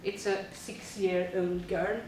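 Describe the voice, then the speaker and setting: A woman's voice: mid-range voice, level tone, takes it slowly, darkish tone, heavy reverb, distant mic, slight background noise, poor audio. A woman, a teacher reading a prepared presentation steadily with frequent fillers. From Sweden. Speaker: teacher presenting a school project.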